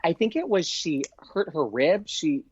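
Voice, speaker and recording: A man telling a casual anecdote as a podcast guest, gushing and excitable, saying "like" often and acting out both sides of conversations. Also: on the high side, unhurried, breathy edge, lo-fi sound. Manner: gushing, excitable, frequent "like", acts out dialogue. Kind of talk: casual anecdote, chatty storytelling.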